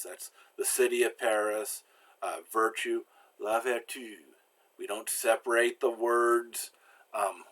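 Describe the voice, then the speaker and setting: An older American man gives a relaxed, self-deprecating slide lesson from a home study, talking into a webcam. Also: lowish voice, breathy edge. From United States. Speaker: teacher.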